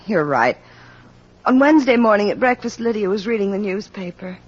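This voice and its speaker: An actress playing a candid, composed shopkeeper in an old radio crime drama, explaining earnestly with slight hesitation. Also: female voice, medium pitch, expressive, a little fast, lightly acted. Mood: frank. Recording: dull lo-fi old radio recording.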